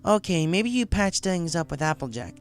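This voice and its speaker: A man's voice: a little high, big pitch swings, normal speed, clear, resonant tone, faint music behind, voice pushed up. A man voicing a brash, defiant young mare pony in an audiobook story, with a blunt and accusing manner. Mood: frustrated.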